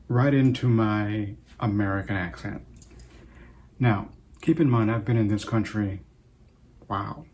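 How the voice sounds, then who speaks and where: A man in his sixties, originally from Trinidad, hosting his own show and speaking in an unhurried, reflective way with many pauses. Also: on the low side, darkish tone, a little hiss or hum. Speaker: host. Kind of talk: casual personal talk to camera.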